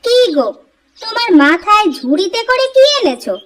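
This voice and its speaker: A woman voicing a sharp-tongued young village wife who scolds and mocks her husband. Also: very high-pitched, big pitch swings, normal speed, full character voice. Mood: scolding. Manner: scolding, sarcastic. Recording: cartoon music under the voice.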